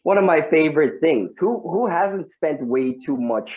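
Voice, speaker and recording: A man's voice: a little high, expressive, moderate pace, narrow, tinny audio. A man from Guyana doing a stand-up comedy set over a video call, chatty and full of asides to the audience.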